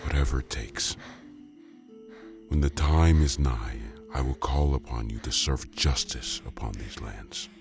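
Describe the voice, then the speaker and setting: Mysterious dark guardian, rock-bottom pitch, heavy gravel, solemn, portentous, male, western audio drama. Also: measured pace, soft background music, exaggerated voice. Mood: solemn, ominous.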